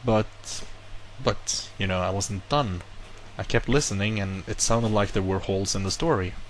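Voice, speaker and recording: Low-voiced man rambling through a story. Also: normal speed, a little hiss or hum, rough recording. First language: not English. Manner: rambling, self-correcting, animated retelling. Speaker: vlogger. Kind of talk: casual monologue to camera.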